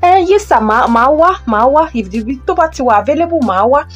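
An actress plays an eager young Nigerian mother in an audio drama. Her voice sits high, and she speaks politely and deferentially, mixing languages as she goes. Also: lively intonation, fast talker, soft background music. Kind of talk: audio drama acting, Yoruba-English phone conversation.

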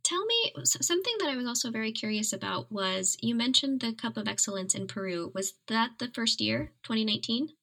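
A woman interviewing a guest in a relaxed chat, asking curious questions and giving short encouraging replies. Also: fairly high pitch, fairly quick, creaky, few pauses. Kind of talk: casual interview.

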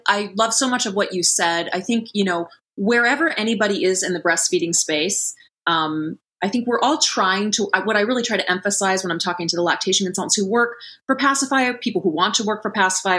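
Woman, forties, podcast guest, bright and sharp tone, chatty with lots of restarts. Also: mid-range voice. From Columbus, Ohio. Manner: chatty, frequent restarts and fillers. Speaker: guest, lactation company founder. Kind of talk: conversational podcast interview.

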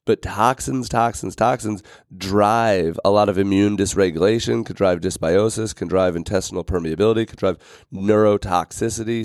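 Low, dry-voiced podcast host, slightly raspy. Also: male voice, darkish tone, no echo. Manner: rambling, many fillers and restarts. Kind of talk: casual podcast monologue.

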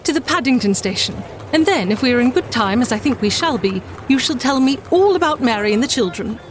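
Woman playing a gracious genteel lady, high, noisy background. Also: lively intonation, a little fast, natural voice. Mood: pleased, friendly. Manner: polite, genteel. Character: gracious, genteel London lady, friendly former mistress.

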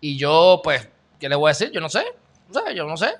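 A man's voice: fairly high pitch, very animated, fairly quick. A young man with a Puerto Rican accent hosting a casual live-stream news chat, sarcastic and greeting viewers by name. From Puerto Rico. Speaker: channel host.

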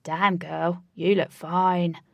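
A woman voicing a hot-headed young man who talks loudly in brash street slang. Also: lowish voice, flat delivery, slow, creaky, dry recording, exaggerated voice.

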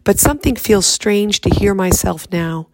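A woman reading her own poem aloud in a raspy, hoarse voice from laryngitis, speaking softly. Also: mid-range voice, dry recording. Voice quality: raspy, fried voice. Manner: soft, unhurried speaking.

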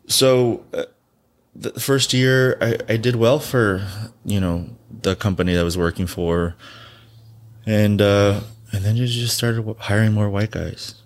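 A man's voice: fairly low pitch, unhurried. A man from Southern California, a guest in an interview, telling his life story bluntly and candidly, swearing freely and laughing often.

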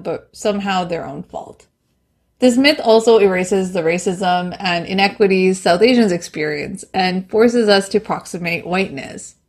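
A young adult woman from Bangladesh co-hosting a podcast, speaking in a measured, earnest way. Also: medium pitch. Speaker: podcast co-host. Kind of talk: scripted podcast intro.

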